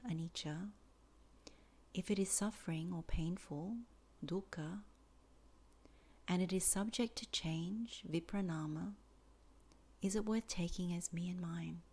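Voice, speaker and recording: A woman teaching a guided meditation in soft, deliberate phrases with many pauses and a breathy fall at phrase ends. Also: lowish voice.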